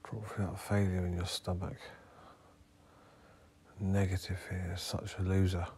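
A man leading a guided hypnotherapy meditation as a therapist, speaking in hushed, instructing, spaced-out phrases. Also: low-pitched, level tone, measured pace, breathy edge.

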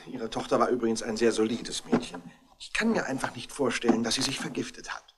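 A man's voice: medium pitch, fairly even intonation, quick pace, heavy background noise, lightly acted. Man playing a pompous, flustered politician. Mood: pompous, officious. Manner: pompous, stammering over condolences. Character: self-important middle-aged politician, flustered, stiffly formal son. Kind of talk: German 1960s radio drama acting.

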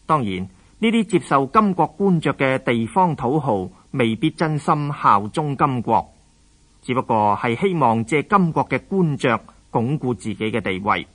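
A man with a Hong Kong Cantonese accent, giving formal, measured scripted narration for a radio history programme. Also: medium pitch, animated, a bit bright.

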